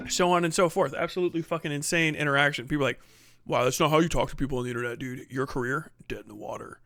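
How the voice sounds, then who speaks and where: Profane, animated male streamer. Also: on the high side, fast, no echo. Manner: profane, animated, jumps between loud and muttered. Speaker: livestream host. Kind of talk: casual livestream reaction chat.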